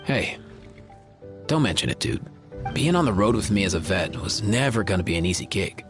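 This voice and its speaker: A man's voice: fairly low pitch, animated, moderate pace, close to an everyday voice. A man voicing a laid-back, caring young creature vet in a video game, speaking in a casual, slangy, sincere way. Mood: sincere, somber. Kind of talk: video game character acting. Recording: music behind the voice.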